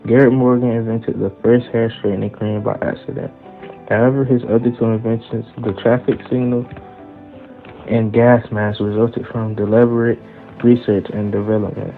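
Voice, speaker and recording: A man's voice: lowish voice, flat delivery, moderate pace. A man reading a scripted narration aloud as a volunteer reader. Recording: tinny phone-like audio under music. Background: music.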